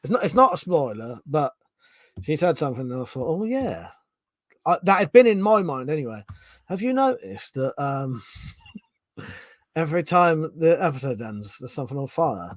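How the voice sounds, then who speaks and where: A man's voice: a little high, very animated, normal speed, long pauses, no echo. A middle-aged man chatting casually on a live stream, reading out chat messages, swearing freely and restarting his sentences.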